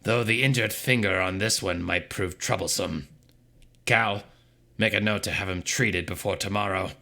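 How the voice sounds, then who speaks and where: A man voicing a smug, commanding bandit leader with a coarse voice and slow, menacing delivery in a story reading. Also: creaky, pushed and bright. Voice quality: coarse, booming.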